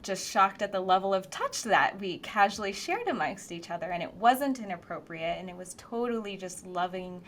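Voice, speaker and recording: A woman's voice: medium pitch, animated, normal speed, hardly any pauses. A woman from the United States, co-hosting a podcast and speaking in a thoughtful, reflective way with many fillers. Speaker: podcast co-host, therapist. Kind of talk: casual podcast conversation.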